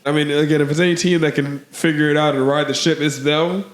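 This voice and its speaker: A man co-hosting a podcast, slightly hoarse from allergies, rambling through casual sports talk with lots of "man" and "bro". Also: on the high side, steady tone, fast, roomy sound. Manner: rambling, filler-heavy, says "man" and "bro". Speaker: podcast co-host.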